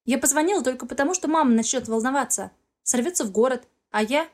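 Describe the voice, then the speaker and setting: A woman's voice: high-pitched, fast talker. A woman narrator voicing an agitated, vulnerable young heroine in an audiobook. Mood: defensive, protesting.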